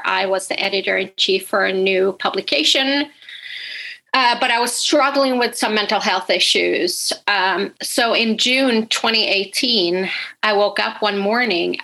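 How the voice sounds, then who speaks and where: Swedish-born woman with a bright, gravelly voice. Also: mid-range voice, low-quality recording. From Sweden. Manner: chatty, candid, laughs easily. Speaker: guest, podcast host and entrepreneur. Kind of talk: casual interview storytelling.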